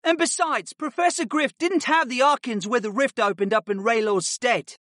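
A man's voice: very high-pitched, moderate pace, pushed and bright, no room sound, studio quality, pitched above the performer's own voice. A man voicing an earnest, loyal young boy student in an audiobook, with a defensive edge. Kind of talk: audiobook character acting.

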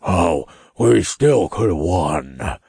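A man's voice: fairly low pitch, sing-song, slow, vocal fry, darkish tone, breathy edge, dry recording, clean studio sound, exaggerated voice. A man playing a big, bulky, grumbling male demon in an audiobook, blunt in manner. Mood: sulky.

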